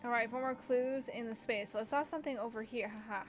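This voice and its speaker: A young woman records casual commentary while playing a video game. Her voice is high, and she reacts out loud, exclaiming and talking to herself. Soft game music runs behind her. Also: fast talker. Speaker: gaming video creator.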